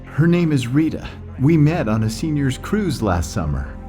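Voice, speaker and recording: An actor playing a dotty, sweet elderly widowed father in an audio comedy, with a halting, doddering way of talking. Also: medium pitch, sing-song, soft background music, natural voice. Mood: doting. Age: older. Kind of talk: comedy audio drama acting.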